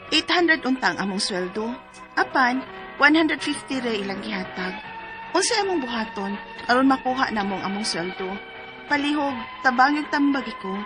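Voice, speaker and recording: A woman's voice: fairly high pitch, lightly acted. An actress with a Cebuano accent playing an aggrieved young nanny who narrates her problem letter in a radio drama. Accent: Cebuano Filipino. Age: young adult.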